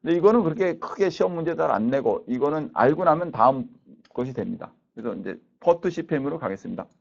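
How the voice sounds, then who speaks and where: A man's voice: a little high, animated, fast, gravelly. A man in his fifties lecturing as a university professor, explaining concepts didactically and repeating key points. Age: 50s. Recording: dull lo-fi lecture recording.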